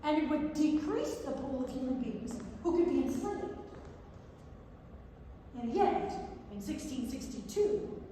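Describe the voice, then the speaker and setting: A woman's voice: high-pitched, animated, unhurried, long pauses, dark tone, a little hiss or hum. A woman giving a staged reading of non-fiction prose, recorded from far off in an echoey theatre. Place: large indoor theatre.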